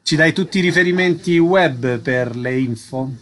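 A man's voice: fairly high pitch, normal speed, a bit bright. A man hosting a radio programme, asking questions and presenting items. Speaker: radio host. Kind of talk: radio interview and agenda presenting.

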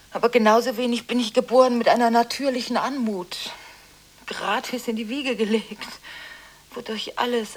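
An actress playing a volatile, contradictory woman arguing through one side of frantic telephone calls in a radio drama. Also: natural voice. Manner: abrupt swings between hushed confiding and shrill scolding.

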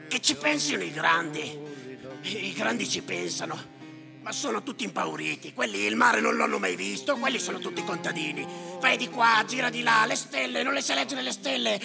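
A sardonic, bitter storyteller with a very creaky, high-set male voice. He declaims his monologue in insistent repetitions, theatrical and gravelly. Long reverb surrounds him, with music playing behind. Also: full character voice. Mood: bitter, agitated. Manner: declamatory, repetitive, swinging from muttering to shouting. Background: live music.

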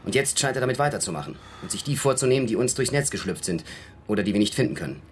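An actor playing a frank young American army lieutenant in a radio drama, deferential and matter-of-fact. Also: male voice, on the low side, lively intonation, rapid-fire, a little hiss or hum, lightly acted. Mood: frank, guarded. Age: young adult.

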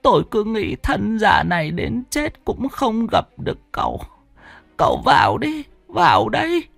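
A man's voice: high-pitched, very animated, takes it slowly, gravelly, no room sound, faint music behind, voice pushed up, full character voice. A man voicing a frail old woman who sells lottery tickets, speaking weakly with a stammer and on the verge of tears. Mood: tearful. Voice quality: weak, trembling, stammering.

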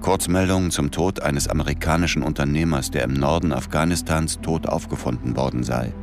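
A man's voice: very deep voice, brisk. An actor playing a grieving, hard-drinking man who narrates a radio thriller in terse, clipped inner monologue. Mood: brooding. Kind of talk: radio play first-person narration. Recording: loud music bed.

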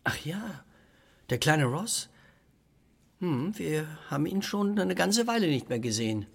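An actor playing a mild, polite and earnest reverend schoolmaster in a radio play. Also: male voice, a little high, very animated, measured pace, vocal fry, heavily acted. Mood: friendly. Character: small, round, mild, obliging reverend schoolmaster. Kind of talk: German radio play acting.

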